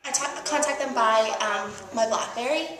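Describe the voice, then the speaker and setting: A woman's voice: fairly high pitch, moderate pace, reverberant, crowd noise. A nineteen-year-old woman, a college student, chatting in an interview and laughing as she answers. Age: teens. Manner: chatty, laughs often, says um and like.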